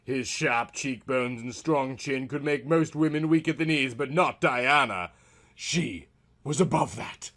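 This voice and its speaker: A man's voice: medium pitch, unhurried, a bit bright. A man narrating a scripted comic story in a melodramatic, mock-serious manner.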